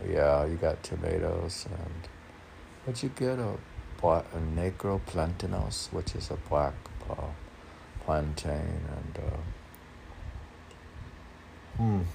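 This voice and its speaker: A man reading his poems and commenting off the cuff in a rambling, halting way, asking himself questions. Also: deep voice, creaky, warm tone, a little hiss or hum, poor audio. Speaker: poet and podcaster reading own work.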